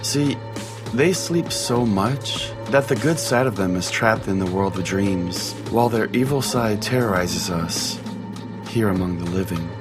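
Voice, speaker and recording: A man's voice: fairly low pitch, lively intonation, a little slow. A man playing an eccentric pastor who hosts a late-night call-in radio show in a theatrical, mock-solemn way. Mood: rambling, absurd. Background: music.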